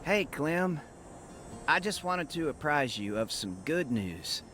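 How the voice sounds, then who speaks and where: An actor playing a young reformed gang member in a game, voicing a sincere, humble letter. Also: male voice, fairly high pitch, very animated, slow, a bit bright, full character voice. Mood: sincere, grateful. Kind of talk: reading of a letter in character. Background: faint music.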